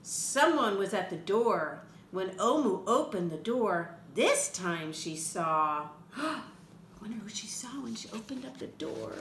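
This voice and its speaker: Older lady reading a kids book, bright voice, swoopy and chatty, bit echoey. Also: mid-range voice, unhurried. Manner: expressive, child-directed, chatty. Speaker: read-aloud storyteller for children. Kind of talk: animated children's picture book reading with chatty asides. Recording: echoey room with faint background noise.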